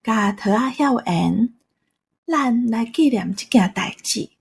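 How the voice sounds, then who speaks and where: A woman acts as a gentle old Taiwanese country grandmother in a radio play. She speaks very slowly in a husky, fairly high voice, practical and affectionate. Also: lively intonation, heavily acted. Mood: thoughtful. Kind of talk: radio drama acting.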